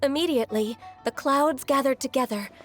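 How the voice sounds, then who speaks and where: A woman voicing a gentle, polite young woman in a video game, recounting things earnestly and carefully. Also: high voice, brisk, soft background music, natural voice. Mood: solemn.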